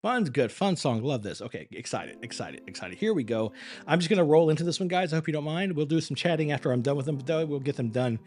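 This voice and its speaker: A man's voice: a little high, lively intonation, fast, darkish tone. A man who works as an acting and performance coach, chatting casually with viewers on a live stream. He sounds tired, his voice is wearing thin, and he rambles earnestly, restarting his words as he goes.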